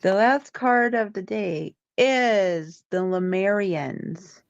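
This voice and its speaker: Slow, hesitant woman reading tarot cards. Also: mid-range voice, no room sound. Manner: meandering, with many ums and restarts. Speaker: tarot reader and co-host. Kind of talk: casual livestream card reading. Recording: dull lo-fi stream audio.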